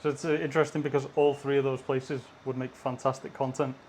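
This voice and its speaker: A man's voice: mid-range voice, level tone, fast, darkish tone, a little hiss or hum. A man interviewing a guest, steadily posing and framing questions.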